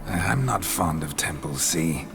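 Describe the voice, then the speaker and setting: A man playing a monster hunter in a fantasy video game, a gravelly-voiced figure who is at times possessed by a rakish ghost. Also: sits low, measured pace, music in the background, exaggerated voice. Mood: rakish, flirtatious. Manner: dry and deadpan, turning florid and flirtatious when possessed. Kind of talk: video game cutscene dialogue.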